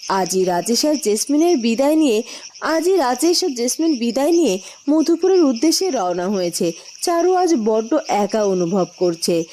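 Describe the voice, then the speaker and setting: A woman's voice: on the high side, big pitch swings, moderate pace, mellow, slight background noise. A woman giving scripted narration for a fairytale cartoon.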